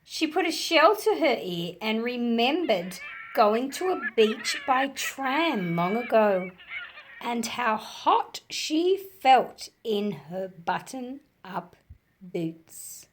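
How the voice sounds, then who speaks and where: A woman reads a picture book aloud for young listeners. Her voice sits fairly high and swings widely in pitch, and she takes her time with each phrase, shaping it expressively. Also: slight background noise. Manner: slow, deliberate, animated delivery for young listeners. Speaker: storyteller reading aloud to children. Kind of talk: expressive children's storybook read-aloud.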